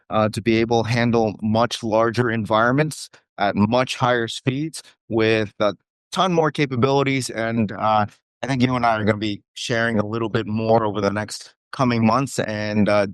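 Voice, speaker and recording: A man speaking as a company executive on an earnings call, semi-casual and upbeat with a halting delivery. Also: medium pitch, clean tone, no room sound.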